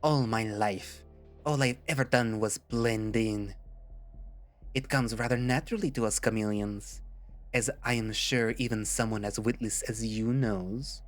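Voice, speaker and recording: A man's voice: on the low side, steady tone, measured pace, soft background music, lightly acted. A man voicing a bitter, vain chameleon villain in a melodramatic, pompous way, with a put-on French accent. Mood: wounded, brooding. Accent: mock French.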